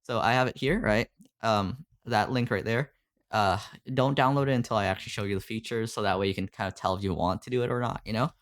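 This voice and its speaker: A man's voice: fairly low pitch, quick pace. Casual man explaining, tacking on "right?". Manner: loose, filler-heavy, keeps asking "right?". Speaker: streaming audio mentor. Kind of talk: casual screen-share tutorial on a call. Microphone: desktop USB condenser mic.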